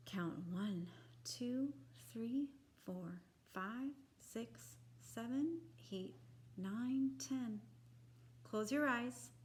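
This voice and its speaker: A woman reading a children's picture book aloud in a deliberate, expressive, instructive way for young listeners. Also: medium pitch, slight background noise.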